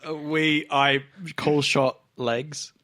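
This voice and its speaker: A man's voice: fairly high pitch, steady tone, very slow, sharp tone. A man chatting casually as a player in a tabletop role-playing game.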